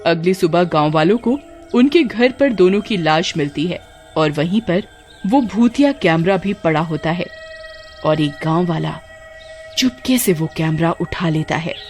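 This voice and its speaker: A woman's voice: lowish voice, expressive, measured pace, soft background music. A woman storyteller giving scripted, dramatic narration of a horror story.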